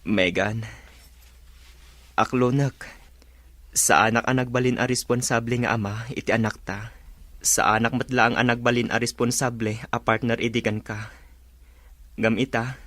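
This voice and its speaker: A mid-pitched man acting as a remorseful young father in an Ilocano drama. He is earnest and polite, a grown son talking respectfully to his elders. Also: fairly even intonation, normal speed, pauses often, fairly bright tone, no echo, lightly acted.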